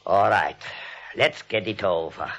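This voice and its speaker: An actor playing a nervous, pleading doctor, a reluctant accomplice, in a 1940s radio drama. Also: male voice, lowish voice, measured pace, gravelly. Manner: hesitant, pleading. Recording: old 1940s radio recording.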